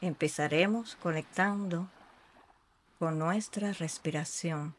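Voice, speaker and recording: A meditation guide speaking in a soothing, unhurried way with long pauses between short phrases. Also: mid-range voice, slight background noise. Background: ambient sea sounds.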